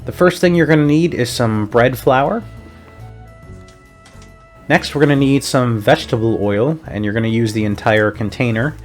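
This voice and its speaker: A man's voice: mid-range voice, moderate pace, faint music behind. A young man from Puerto Rico hosting a casual cooking vlog, walking through a recipe in a chatty, upbeat way. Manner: upbeat, chatty, step-by-step instructions.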